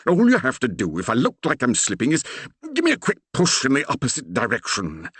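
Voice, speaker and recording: A man's voice: medium pitch, wildly swooping pitch, brisk, fairly bright tone, husky, no room sound, voice pushed up, full character voice. A man voicing a blustering, panicky older country vicar who exclaims in old-fashioned oaths. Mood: resigned. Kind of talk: audiobook character voice.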